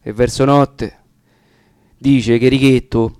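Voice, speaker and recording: Roman guy, medium pitch, reading old dialect verse all dramatic and grave, really dry recording. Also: steady tone, slow pace, darkish tone, smooth, no echo. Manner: solemn, theatrical. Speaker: reader of dialect poetry. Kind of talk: dramatic recitation of narrative verse.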